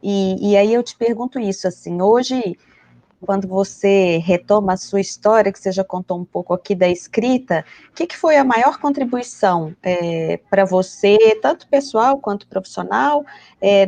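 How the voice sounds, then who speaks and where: Lady interviewer, mid-pitch, asks questions slowly with fillers. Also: warm tone.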